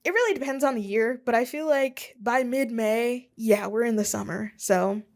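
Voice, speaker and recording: Young woman host, solo podcast chat from a home office, rambly and self-mocking, voice a bit high. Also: normal speed. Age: 20s. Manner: rambling and self-deprecating, with chuckles and asides.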